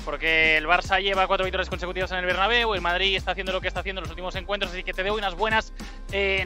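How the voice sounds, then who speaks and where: A man's voice: high voice, sharp tone. A man in his thirties giving a live sports report outdoors into a handheld microphone. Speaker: field reporter. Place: outdoors in a city square at night.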